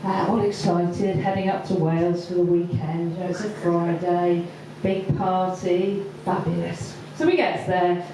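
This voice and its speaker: A woman doing stand-up, telling a story slowly with many ums and pauses. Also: lowish voice, little pitch movement, mellow, a little hiss or hum. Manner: hesitant, lots of ums, slow deliberate delivery. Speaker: stand-up comedian. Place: comedy venue with audience laughter. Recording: echoey far-off live room recording.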